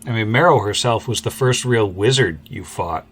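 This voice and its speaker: A man's voice: fairly low pitch, normal speed, fairly bright tone, a little hiss or hum. A man chatting casually as the game master on a podcast panel. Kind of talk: casual chat.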